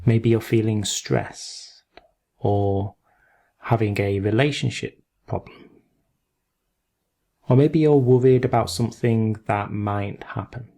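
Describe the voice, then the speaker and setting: Slow, mellow male meditation guide. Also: on the low side. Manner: unhurried, with long pauses between short phrases. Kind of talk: guided meditation, gentle instruction.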